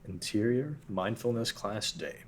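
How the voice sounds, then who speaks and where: A man reading out scene directions in an even, matter-of-fact way at a table read. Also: on the low side, unhurried, slight background noise.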